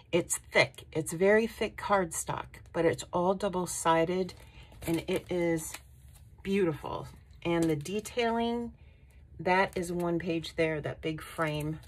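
A woman vlogging a casual craft haul at her table, unhurried and admiring, with frequent ums. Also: fairly low pitch, slight background noise. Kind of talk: casual show-and-tell haul. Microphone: overhead camera on a tabletop.

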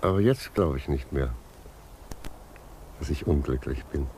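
A very low-voiced old man, wistful and fatherly, speaks with slow, earnest reflection. It is a 1950s radio drama with faint background noise. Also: a little mellow. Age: older. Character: gentle, philosophical old widowed judge, wistful and fatherly. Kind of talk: 1950s German radio play acting.

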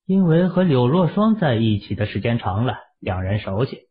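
Husky lively male storyteller. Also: medium pitch, normal speed. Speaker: audiobook narrator. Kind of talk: storytelling narration of a novel. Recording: tinny phone-like audio.

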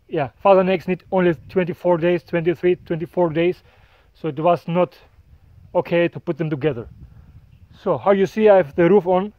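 A man in his thirties gives an unhurried walk-and-talk tour of his farm, filming himself on a handheld phone. He talks in plain, non-native phrasing and chuckles often. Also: on the high side, no room sound, phone-quality sound. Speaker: farmer vlogger. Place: outdoors on a hillside farm and inside a bird shed. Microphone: phone camera at arm's length.

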